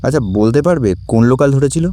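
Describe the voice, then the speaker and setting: A man playing a steady, supportive young friend in a Bengali audio drama. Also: mid-range voice, quick pace, natural voice. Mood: thoughtful.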